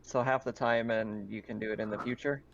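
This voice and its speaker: A man's voice: fairly low pitch, fairly even intonation, brisk, lo-fi sound, slight background noise. A man acting as game master, narrating a tabletop roleplaying game in a casual, explanatory way.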